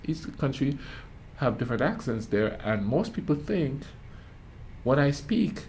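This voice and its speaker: Animated Grenadian man telling stories. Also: mid-range voice, a little slow, slight background noise. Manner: animated storytelling with laughs. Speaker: reaction video host. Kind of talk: casual chat.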